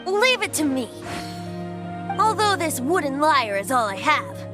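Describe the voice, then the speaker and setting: A woman's voice: high voice, big pitch swings, unhurried, over music. A woman voicing a youthful, boyish male bard god in a video game, playful, airy and theatrical.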